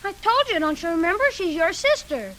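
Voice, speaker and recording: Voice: very high voice, sing-song, a little fast, bright, forceful tone, slight background noise, heavily acted, poor audio. A performer playing an earnest, worried young boy in an old radio drama, with a plain, boyish manner. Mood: earnest, concerned. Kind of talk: 1940s radio acting.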